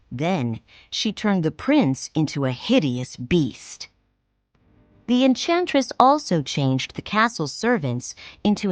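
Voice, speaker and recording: A woman reads a fairy tale aloud very slowly, her fairly low voice rising and falling expressively. She speaks clearly and carefully, with music playing clearly underneath. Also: no room sound. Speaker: storybook narrator. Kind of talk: slow read-along storytelling.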